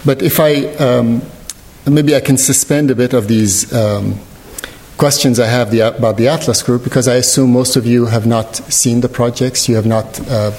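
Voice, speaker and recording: A man from Lebanon speaks as an artist presenting his work in a large hall with long reverb. His mid-pitched voice is measured and hesitant, searching for careful, formal phrasing as he reflects aloud. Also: steady tone, a little mellow. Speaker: visual artist giving a lecture. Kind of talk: reflective public artist talk.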